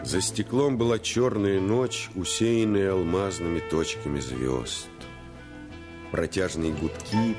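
An actor narrating a radio play in the first person as an earnest, intense storyteller recalling a boyhood adventure. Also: male voice, low-pitched. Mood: wistful. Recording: radio play with music underneath.

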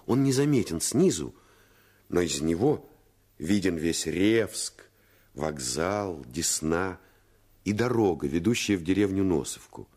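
A man narrating a boyhood adventure in the first person for a radio play, in a measured, literary storytelling manner. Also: low-pitched, very animated, darkish tone, heavily acted. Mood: calm, descriptive. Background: music.

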